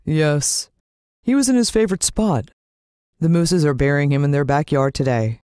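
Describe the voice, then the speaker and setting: A woman's voice: deep voice, moderate pace, dark tone, clean tone, no room sound, studio quality, close to an everyday voice. A woman voicing a sombre, plain-spoken dad in a children's story. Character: sombre dad breaking bad news at breakfast.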